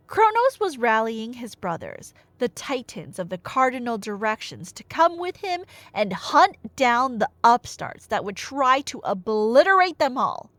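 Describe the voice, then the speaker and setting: A woman's voice: a little high, fairly bright tone, no room sound. A woman telling a story in a casual, rambling ASMR style, playful and slangy with wry asides. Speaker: storyteller playing the listener's nerdy girlfriend.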